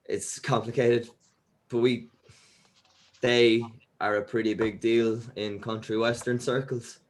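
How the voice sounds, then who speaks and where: A man playing an earnest, hesitant young musician in a script table read, speaking in a halting everyday voice. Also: mid-range voice, little pitch movement, lightly acted, low-quality recording. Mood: casual, resigned.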